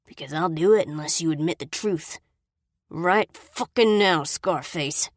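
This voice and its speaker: A woman's voice: on the low side, normal speed, no room sound, clean studio sound, close to an everyday voice. A woman voicing a sneering, violent young man who snarls and mocks in an audiobook. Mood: menacing. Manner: snarling, mocking.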